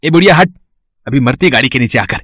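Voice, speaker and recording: A man's voice: mid-range voice, animated, fast talker, fairly bright tone, no room sound. An actor, a man, playing a greedy, irritable small-town trader in a moral story, grumbling and scolding fussily. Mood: bossy, impatient.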